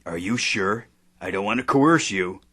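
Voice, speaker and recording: A man playing a grandiose, deliberate genetic engineer in a satirical sci-fi audio drama. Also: on the low side, pushed and bright. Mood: solemn. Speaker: actor.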